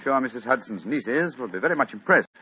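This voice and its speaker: An actor playing a suave, teasing English gentleman detective with a British accent and precise, urbane delivery in 1940s radio style. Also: mid-range voice, animated, fast, husky. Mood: amused, teasing. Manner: precise, urbane, dryly amused. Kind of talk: 1940s radio drama acting. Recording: muffled old radio broadcast.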